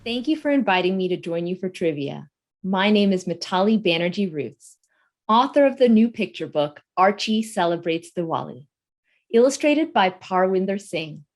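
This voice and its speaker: A woman's voice: medium pitch, very animated, moderate pace. A woman in her thirties with an American accent, a picture book author presenting trivia for children. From United States. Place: indoor room with bookshelves.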